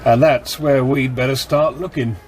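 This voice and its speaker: An actor playing a middle-aged, seasoned policeman with a husky voice, steady and firm, in a radio drama. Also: medium pitch, normal speed, slight background noise, close to an everyday voice. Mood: urgent, resolute. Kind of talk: radio drama acting with first-person narration.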